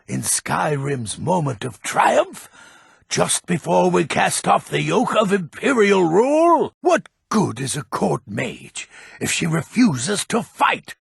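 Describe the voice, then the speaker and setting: An actor playing a grumpy, proud old Nordic lord in a fantasy video game, with a gravelly, raspy voice and a blustering manner. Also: male voice, high voice, sing-song, dry recording, studio quality, full character voice. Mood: stern. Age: older. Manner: grumpy, blustering, slow and weighty. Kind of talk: video game character voice acting.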